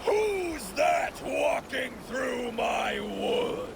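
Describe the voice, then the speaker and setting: Scary giant, slow drawn-out yelling, super gravelly, noisy rainy background. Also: male voice, extremely high pitch, sing-song, heavily acted. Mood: menacing.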